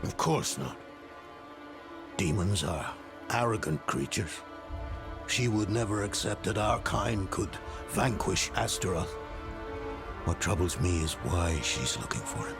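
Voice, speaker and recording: An actor playing a world-weary older nobleman and former demon slayer in a fantasy game, grave and measured. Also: deep voice, animated, over music. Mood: pondering. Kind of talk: dramatic fantasy game acting.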